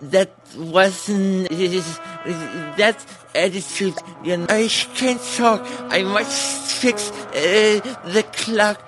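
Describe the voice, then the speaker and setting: A man voicing a fussy, evasive animal motel clerk in a video game, halting, hesitant and dismissive. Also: high-pitched, music in the background. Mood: dismissive, distracted. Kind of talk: video game character dialogue.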